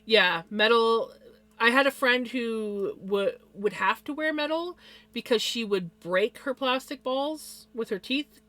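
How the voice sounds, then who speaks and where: A woman's voice: on the high side, unhurried, a bit bright, no echo. A woman live streamer with a slight lisp, chatting casually and rambling to her viewers with many fillers and little laughs.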